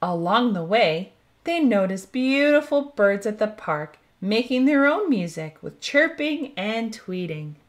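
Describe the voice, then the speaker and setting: A woman hosting a children's storytime, reading a picture book aloud in an expressive, deliberate way. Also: medium pitch. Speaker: host of children's read-aloud show.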